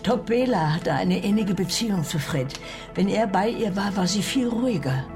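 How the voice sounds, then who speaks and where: An actor playing a sorrowful, devout searcher for lost family, speaking in a solemn, measured way in a German radio play. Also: medium pitch, animated, close to an everyday voice. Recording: music bed under the voice.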